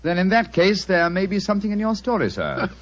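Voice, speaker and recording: Precise British gentleman detective, vintage radio. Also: high voice, wildly swooping pitch, moderate pace, exaggerated voice. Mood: probing, skeptical. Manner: precise, eloquent, lecturing. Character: shrewd, courteous, confident English gentleman detective. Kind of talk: old-time radio drama acting. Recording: dull vintage radio recording.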